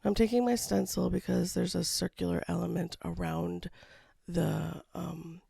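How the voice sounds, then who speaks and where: An artist talking casually over a painting time-lapse, with a froggy voice from a cold and a low-key, rambling manner. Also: level tone, a little slow, vocal fry, warm tone, husky, no room sound, studio quality. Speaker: watercolor artist. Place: home studio.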